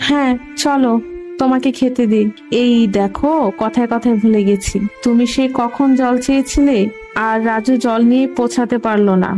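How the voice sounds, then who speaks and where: A woman voicing a poor, fretful, caring village mother in a cartoon, with an expressive, homely, lamenting manner. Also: on the high side, moderate pace, soft background music, lightly acted. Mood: fussing. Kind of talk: Bengali cartoon voice acting.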